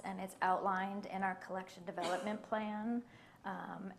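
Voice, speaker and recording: A quiet-voiced woman answering questions on a library panel, her pitch sitting in an ordinary middle range. She talks in a measured, careful way and often pauses on an um. Faint room ambience hangs behind her. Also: a little hiss or hum. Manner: soft-spoken and measured, with many ums. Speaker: library collection manager on a panel. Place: live library event.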